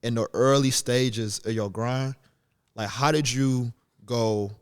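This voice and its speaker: A young man hosting a podcast, introducing a guest and asking questions with an energetic, hyped manner. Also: medium pitch, takes it slowly, smooth. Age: young adult. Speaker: podcast host. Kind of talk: casual interview chat.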